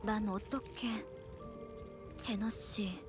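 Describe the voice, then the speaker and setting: A woman's voice: mid-range voice, steady tone, fast talker, music in the background, lightly acted. An actress playing a searching young woman with amnesia in scripted video game dialogue, introspective yet determined. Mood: pensive, troubled.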